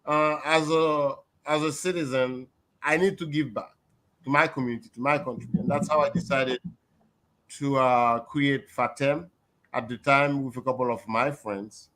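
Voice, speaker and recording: An older man from Haiti, a guest on a video call, speaking in a measured, reflective way with many fillers. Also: medium pitch, steady tone, a bit bright, poor audio. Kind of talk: casual interview talk.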